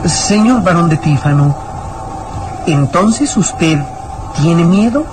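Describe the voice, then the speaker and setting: A man playing a defiant, loyal boy who speaks deliberately and solemnly in an old radio drama. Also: a little high, expressive, background noise. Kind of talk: old Latin American radio drama acting.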